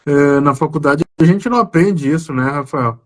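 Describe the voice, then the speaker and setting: A man's voice: fairly high pitch, moderate pace. A man from southern Brazil with a gaúcho accent, a psychiatrist guest explaining his work earnestly over a video call, with hesitant restarts. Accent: Brazilian gaúcho Portuguese. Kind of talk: conversational explanation on a live stream.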